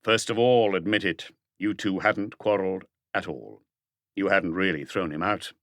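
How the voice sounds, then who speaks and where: Man playing a low-voiced, persistent police detective. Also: normal speed, fairly bright tone, no room sound. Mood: accusing. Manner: pointed, pressing questioning. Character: probing, persistent male police detective, firm and unhurried.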